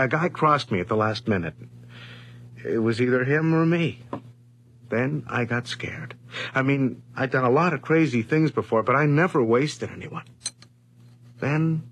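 An actor in a radio drama playing a nervous, scheming criminal who confesses haltingly. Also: male voice, medium pitch, a bit bright, a little hiss or hum. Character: nervous, scheming small-time criminal, stealer of identity.

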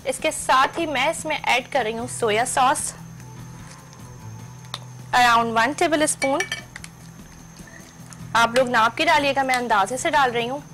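Middle-aged woman, high voice, chatty cooking show host explaining each step, studio kitchen, lapel mic. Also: measured pace, long pauses, a bit bright, soft background music. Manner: chatty, instructive, mixes in English terms.

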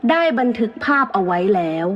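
A woman's voice: medium pitch, expressive, fairly quick. A woman storyteller narrating a scripted tale in a dramatic style. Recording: muffled, long reverb.

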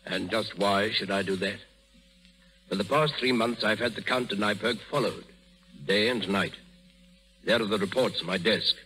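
An actor playing a stern, suspicious emperor, clipped and interrogating, in a 1930s radio drama. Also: male voice, fairly low pitch, moderate pace, long pauses, bright, forceful tone, slight background noise, low-quality recording. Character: stern suspicious middle-aged male emperor.

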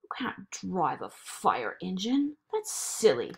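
A woman's voice: on the low side, wildly swooping pitch, slow, creaky, husky, heavily acted. A woman voicing a small, nagging inner voice of doubt in a picture-book read-aloud, needling and discouraging.

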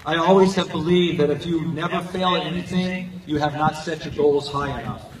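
Older man, somewhat high voice, earnest commencement speaker, short measured phrases, distant echoey stadium PA sound. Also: a crowd in the background. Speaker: high school coach and teacher giving a graduation speech. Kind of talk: prepared commencement speech. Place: outdoor football stadium, recorded from the stands. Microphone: podium microphone over PA, captured by distant handheld camera. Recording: echoey stadium PA heard from far off.

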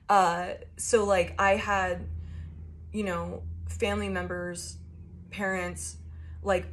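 A young woman doing a casual tarot reading to her phone camera in a small room, with a loud, carrying voice and a rambling, profane way of talking full of fillers. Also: fairly low pitch. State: shaky. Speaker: tarot reader.

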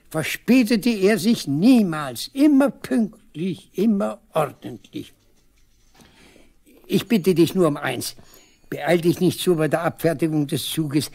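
Creaky-voiced, devoted old village father. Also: fairly high pitch, lively intonation, unhurried, a little mellow. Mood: impatient, pleading. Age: older. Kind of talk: 1970s East German radio drama acting.

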